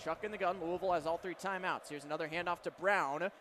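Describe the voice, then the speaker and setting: A man doing live football play-by-play for radio, giving crisp, detailed calls of each situation. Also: fairly high pitch, fairly even intonation, quick pace. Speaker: play-by-play announcer. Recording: clear stadium noise behind.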